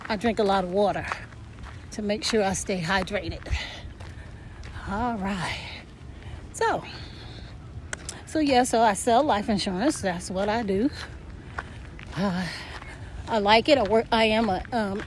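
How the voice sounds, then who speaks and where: An older woman chatting casually while walking uphill outdoors, a bit hoarse and winded, rambling with lots of fillers. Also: mid-range voice, a little slow, long pauses, slight background noise. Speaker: vlogger. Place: outdoors in a park field.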